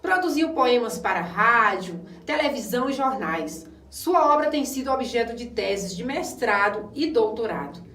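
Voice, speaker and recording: A woman's voice: fairly high pitch, animated, normal speed, hardly any pauses, a bit bright. A woman with a Brazilian accent, an actress delivering a scripted theatrical monologue presentation. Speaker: stage actress. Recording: echoey room, distant microphone.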